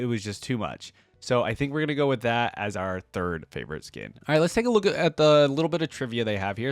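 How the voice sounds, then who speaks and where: A man hosting a gaming reaction video, talking casually and thinking out loud as he comments, addressing his viewers informally. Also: lowish voice, no room sound. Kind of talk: casual reaction commentary, some reading aloud.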